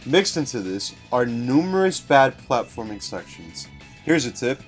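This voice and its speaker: A man's voice: mid-range voice, big pitch swings, measured pace. A man reading a written video game review aloud as scripted narration. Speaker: guest reader of a written review. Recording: music behind the voice.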